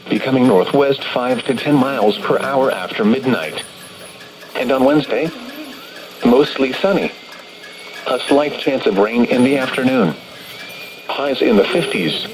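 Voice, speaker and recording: A man's synthetic, automated voice reading a formal scripted weather forecast bulletin as an announcer over the radio. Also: medium pitch. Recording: noisy weather radio broadcast.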